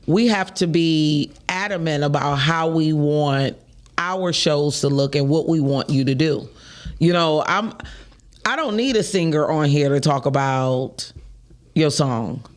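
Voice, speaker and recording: Man, Detroit accent, high voice, lively podcast co-host. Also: a little slow. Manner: animated, chatty, laughs often. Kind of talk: casual chat.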